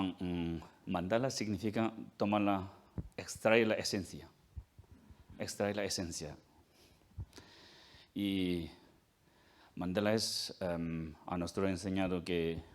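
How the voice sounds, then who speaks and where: Male monk lecturer, very low voice, slow, halting delivery with fillers and throat clearing. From India. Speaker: Tibetan Buddhist monk giving a talk. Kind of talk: lecture at a film forum.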